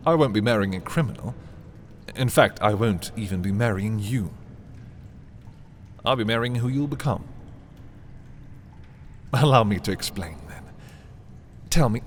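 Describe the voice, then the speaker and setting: Guy doing arrogant scheming fantasy prince, lowish voice, really swoopy dramatic delivery, possessive. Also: a little hiss or hum. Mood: emphatic. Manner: haughty, dramatic, swinging from hushed to exclaiming. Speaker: voice performer. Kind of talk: dramatic roleplay audio, ASMR fantasy monologue.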